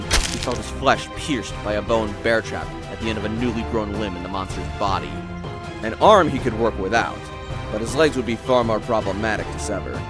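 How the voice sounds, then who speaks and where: A man giving a theatrical, intense scripted narration as a storyteller. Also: lowish voice. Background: music.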